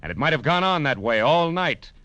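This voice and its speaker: A man's voice: a little high, sing-song, a little fast, no room sound. A man playing a wisecracking private detective in 1940s radio noir style, narrating and talking in a clipped, sardonic way. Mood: tough, ominous. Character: hard-boiled, wisecracking male private detective narrating his case. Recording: old-time radio with loud music.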